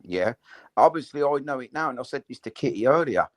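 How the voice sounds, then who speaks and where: A man's voice: mid-range voice, expressive, a little fast. Swearing, rambling man in his forties. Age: 40s. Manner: swears often, rambling with many fillers. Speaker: guest on a livestream. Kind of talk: casual chat.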